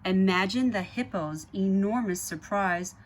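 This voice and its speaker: Woman reading a picture book slowly. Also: mid-range voice, a little hiss or hum. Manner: measured, addresses young listeners directly. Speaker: guest storybook reader. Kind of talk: children's picture book read-aloud with asides to children.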